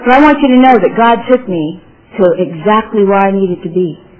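A woman's voice: mid-range voice, expressive, normal speed, phone-quality sound. A woman from California with a Southern twang, telling her life story to an audience in a rambling, folksy way with many repeats and restarts. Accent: Southern American twang. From California, USA. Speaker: speaker sharing her recovery story with an audience. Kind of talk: personal testimony talk.

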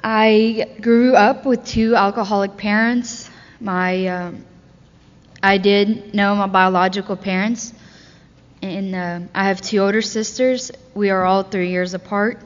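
A woman's voice: mid-range voice, flat delivery, unhurried. An eighteen-year-old woman from Ukraine speaking earnestly and a little hesitantly about her childhood at a meeting. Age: late teens. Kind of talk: personal recovery talk. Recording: muffled recording in a large hall.

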